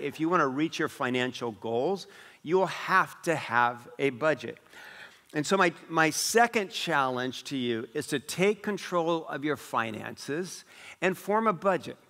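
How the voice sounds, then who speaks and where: A middle-aged man who grew up in West Africa, preaching a sermon as pastor in a large church auditorium, animated and gesturing broadly. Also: fairly high pitch, a little slow. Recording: long reverb of a large hall.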